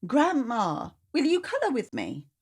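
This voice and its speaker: A woman's voice: high-pitched, wildly swooping pitch, unhurried, a little mellow, husky, voice pushed up, full character voice. A woman voicing an upset little girl who pleads in a childlike way in a storybook reading. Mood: pleading.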